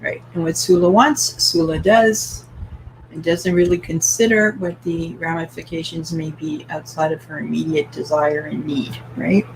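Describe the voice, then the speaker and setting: A woman's voice: low-pitched, fairly even intonation, moderate pace, a little hiss or hum, poor audio. A woman from Canada hosting a live-streamed book club, asking open questions and reading viewer comments aloud with light laughter.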